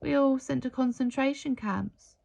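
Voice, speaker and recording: An old woman as a female storyteller acts her out speaks with tearful, wistful feeling. Her delivery is breathless and halting, higher in pitch, with some creak, as in a heartfelt storybook tale. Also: a little mellow. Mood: sorrowful, remembering.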